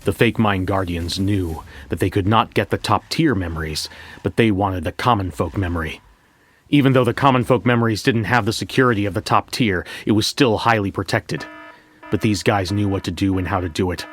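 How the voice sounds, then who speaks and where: A man narrating a scripted first-person story as a bank manager, in a measured, reflective way with deliberate pauses. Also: sits low, a bit bright. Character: bank manager recounting a memory heist.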